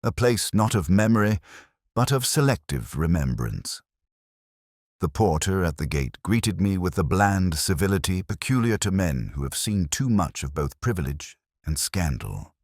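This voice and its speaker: A man narrating a scripted first-person detective story in a measured, reflective, literary manner. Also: low-pitched, very animated, a little mellow, no echo, studio quality.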